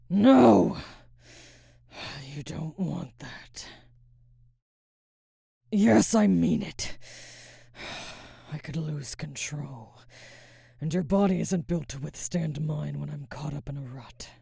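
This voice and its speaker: A woman playing a conflicted, protective alpha werewolf in an intimate ASMR roleplay, husky and halting, often slipping into a whisper. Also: low-pitched, mellow, dry recording, clean studio sound, heavily acted. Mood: pleading, conflicted. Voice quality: husky, breathy, frequently dropping to a whisper. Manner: halting, hesitant, sighing. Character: adult female alpha werewolf, conflicted, protective, barely restrained.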